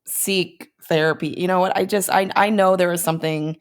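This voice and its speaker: An American woman, a comedian guest, talking in casual podcast chat in an animated, self-mocking way. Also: fairly low pitch, normal speed, clear, resonant tone.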